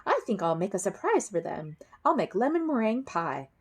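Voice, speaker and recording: A woman's voice: medium pitch, sing-song, a little fast, breathy edge. A woman voicing a cheerful, literal-minded female housekeeper who exclaims and talks to herself in a folksy way, as in a storybook. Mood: pleased, eager.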